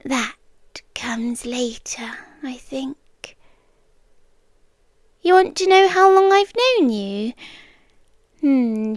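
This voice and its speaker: British yandere girlfriend played by a woman: high, unhurried, coy and possessive, dry close ASMR roleplay. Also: clear, resonant tone, no room sound. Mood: coy, teasing. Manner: coy, cooing, with soft giggles and whispery dips. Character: obsessive yandere girlfriend, sweetly menacing kidnapper.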